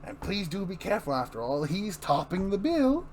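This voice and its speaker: A man's voice: high-pitched, big pitch swings, normal speed, crowd noise, voice pushed up. A man voicing a mocking, falsely polite showman villain in an amateur dramatic comic book reading. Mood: gloating.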